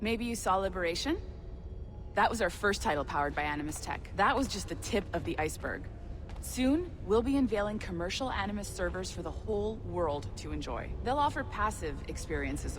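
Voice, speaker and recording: An actress playing a brisk, upbeat company project supervisor, encouraging and breezily professional, in a video game cutscene. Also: female voice, medium pitch, background noise, lightly acted. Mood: promotional, breezy.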